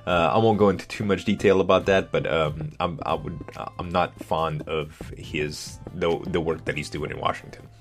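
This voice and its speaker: A young man with a deep voice, casually answering viewer questions for his gaming channel, relaxed and hesitant with many ums. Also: moderate pace, few pauses, faint music behind.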